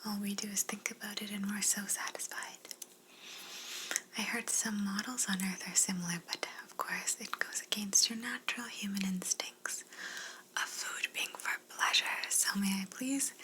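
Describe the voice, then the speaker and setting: A woman voicing a polite, curious alien examiner in an ASMR roleplay, speaking gently and formally in a breathy whisper. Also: mid-range voice, background noise, natural voice.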